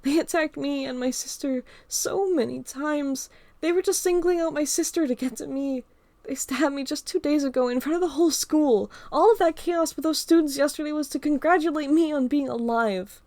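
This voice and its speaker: Woman reader voicing a crying, stammering teenage girl, higher than her narration, gravelly edges. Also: moderate pace, a little mellow, dry recording. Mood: shaken. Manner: stammering, pleading. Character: frightened teenage girl, tearful and apologetic.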